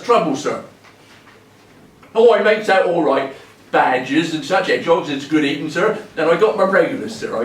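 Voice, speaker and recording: Man acting a high-voiced rural English old wanderer. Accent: rural southern English, Hampshire. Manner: deferential, rambling, halting, folksy. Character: cheery, deferential old homeless wanderer, about seventy. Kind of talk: theatrical dramatic monologue. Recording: echoey room, distant mic, faint noise.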